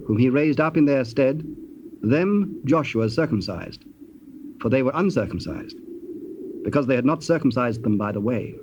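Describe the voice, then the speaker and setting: Man narrating scripture, slightly muffled. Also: fairly high pitch. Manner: measured, formal storytelling. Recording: dull old cassette, faint noise.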